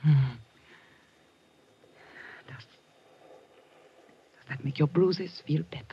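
Slow, languid femme fatale. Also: female voice, sits low, breathy edge, exaggerated voice. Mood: tender, seductive. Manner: languid, hesitant, breaking phrases. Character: sultry, world-weary seductive woman. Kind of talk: 1940s-50s radio drama acting. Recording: muffled, noisy vintage radio recording.

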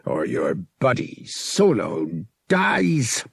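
Cruel starship captain for an audiobook: raspy, menacing, commanding, pitched up from the reader. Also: male voice, wildly swooping pitch, extremely slow, dry recording, studio quality, heavily acted. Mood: threatening. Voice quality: harsh, snarling. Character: cruel, snarling male starship captain, a ruthless villain.

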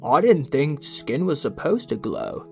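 A woman's voice: deep voice, sing-song, measured pace, vocal fry, warm tone, soft background music, full character voice. Woman doing goofy little boy sidekick in a kids audio drama, flippant, jokey, always hungry. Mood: puzzled. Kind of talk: children's audio drama acting.

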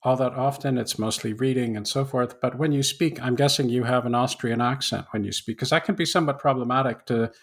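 A man hosting an interview, asking curious, polite questions with some halting restarts. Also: mid-range voice, vocal fry. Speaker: interviewer host.

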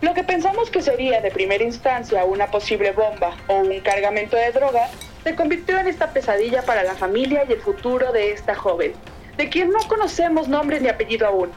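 A woman's voice: on the high side, sing-song, brisk, darkish tone, soft background music, poor audio. A woman playing a grave, formal television news reporter in an audio drama. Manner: formal, earnest. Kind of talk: newsreader delivery in audio drama.

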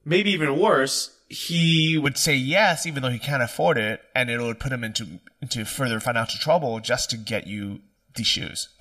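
Bright chatty man asking questions. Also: mid-range voice, normal speed. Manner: chatty, empathetic follow-up questions. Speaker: interviewer. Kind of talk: casual interview.

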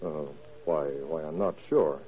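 An actor playing an evasive, indignant gentleman under suspicion in a classic radio drama. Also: a bass voice, a little slow, slightly rough, heavily acted. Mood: cool, evasive. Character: smooth-talking, evasive, indignant gentleman suspect. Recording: muffled, tinny old radio broadcast.

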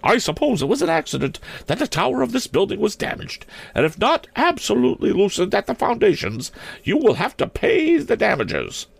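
A man's voice: high voice, wildly swooping pitch, brisk, creaky, slightly rough, no echo, voice pushed up, exaggerated voice. A man voicing a determined, imperious elderly headmistress from an adventure storybook, scolding in a haughty, formal manner. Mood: indignant, haughty. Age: older.

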